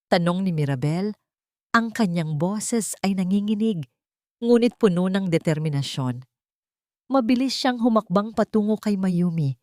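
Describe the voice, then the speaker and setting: A Filipino woman narrates a scripted romantic drama as a storyteller. Her voice sits on the low side for a woman, and the recording is dry, with almost no room sound. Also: a little mellow, dry recording.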